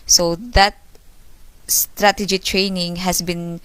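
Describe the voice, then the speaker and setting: A young woman presents a class report in a fairly low voice. She explains each point step by step and restates it with simple examples. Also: little pitch movement, slow pace, a bit bright, smooth, no room sound, poor audio. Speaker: student presenter.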